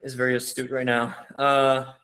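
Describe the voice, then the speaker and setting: A man's voice: mid-range voice, monotone, moderate pace, sharp tone. A young man giving a casual online seminar, rambling with lots of "like" and "you know" and calling his viewers "guys.". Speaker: webinar presenter.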